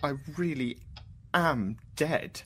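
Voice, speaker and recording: Man playing a husky, troubled English scientist. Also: a little high, animated, slow pace, exaggerated voice. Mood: reflective, insistent. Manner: intense, questioning, swinging from hushed musing to outbursts. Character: troubled, proud, indignant British wartime scientist and codebreaker. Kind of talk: theatrical radio drama dialogue.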